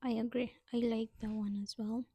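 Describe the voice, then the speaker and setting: A woman's voice: a little high, flat delivery, moderate pace, mellow, breathy edge, dry recording. A young woman co-hosting a casual podcast chat, reading prompts aloud and laughing often. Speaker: podcast co-host.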